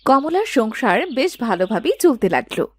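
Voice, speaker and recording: A woman's voice: on the high side, very animated, moderate pace, breathy edge. A woman narrating a scripted cartoon village tale as its storyteller.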